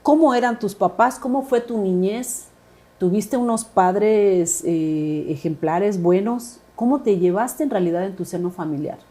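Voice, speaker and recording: Soft-toned woman hosting a podcast. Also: medium pitch, measured pace. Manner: warm, affirming interviewer with many fillers. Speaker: podcast host. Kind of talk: podcast interview.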